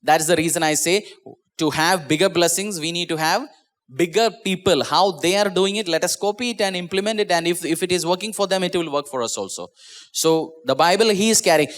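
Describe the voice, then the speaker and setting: A man who is a pastor, with an Indian English accent and Malayalam as his first language, preaching an online sermon in an earnest way, often repeating and restarting words. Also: a bit bright. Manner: earnest, repeats and restarts words, drops pitch at phrase ends. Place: small church.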